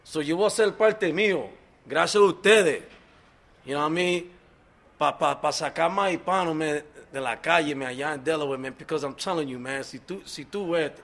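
A middle-aged Dominican-American man with a fairly high voice speaks in an earnest, halting way. He restarts words often and drops English fillers between his Spanish phrases. This is unscripted personal testimony at a recovery meeting. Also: a bit bright. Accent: Dominican-American, mixing English into Spanish. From New York, Dominican family.

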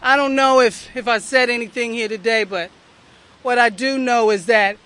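A woman's voice: fairly high pitch, normal speed, a bit bright. A young woman from the southern United States giving a heartfelt, earnest personal testimony at a recovery meeting, speaking straight to the crowd. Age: young adult.

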